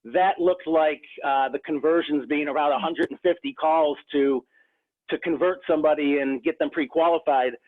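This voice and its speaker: A man's voice: fairly high pitch, fairly even intonation, normal speed. Earnest man on a tinny phone line. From Rochester, New York area. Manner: earnest, frequent restarts and fillers. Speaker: real estate agent. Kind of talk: casual interview talk.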